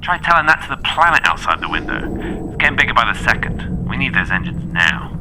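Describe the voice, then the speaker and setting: A man's voice: mid-range voice, big pitch swings, fairly quick, noisy background, voice pushed up. A man playing an impatient, snippy young starship bridge officer in a tabletop game, urgent and sarcastic.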